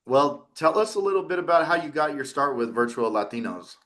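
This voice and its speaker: Upbeat podcast host. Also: male voice, on the high side, animated, fairly quick, a bit bright. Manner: upbeat, enthusiastic greetings. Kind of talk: casual interview chat.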